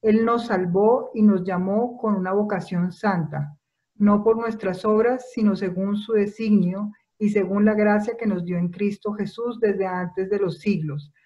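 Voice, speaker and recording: A woman's voice: medium pitch, steady tone, unhurried, dark tone, lo-fi sound. Woman reading scripture at home. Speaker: lector. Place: home room, indoors.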